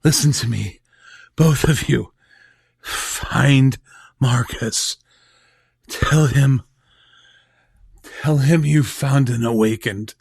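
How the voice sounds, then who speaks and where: An actor playing a mortally wounded man in an audio drama, weak and gasping, raspy, halting, with dry wry humour. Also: medium pitch, a little mellow, dry recording, exaggerated voice. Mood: urgent, fading. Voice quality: raspy, gravelly.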